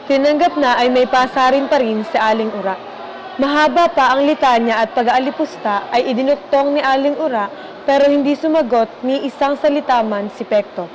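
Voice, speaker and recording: A woman with a high voice and a Filipino accent narrates a radio drama from a script. Faint noise runs behind her. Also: normal speed, highs cut off.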